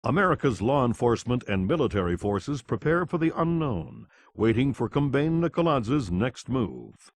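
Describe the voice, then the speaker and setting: An actor playing a sober television news anchor, reading a scripted crisis report for a video game. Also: male voice, mid-range voice, big pitch swings, normal speed, few pauses, no room sound. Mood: sober, urgent.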